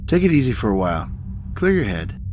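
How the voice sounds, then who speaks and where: A man playing a calm, authoritative starship captain in a sci-fi audio drama, with measured delivery. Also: mid-range voice, a little hiss or hum, close to an everyday voice. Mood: firm, reassuring.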